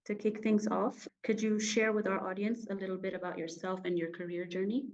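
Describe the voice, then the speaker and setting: A woman's voice: mid-range voice, flat delivery, fairly quick, darkish tone, muffled recording. A woman hosting an interview, gracious and welcoming to her guest. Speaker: host.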